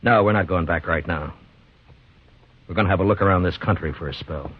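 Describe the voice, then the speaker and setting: An American actor playing a calm, duty-bound frontier marshal in a 1950s radio western, measured and understated. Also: male voice, deep voice, noisy background, natural voice. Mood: calm, firm. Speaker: lead actor and narrator of the story. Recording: dull vintage 1950s radio recording, music.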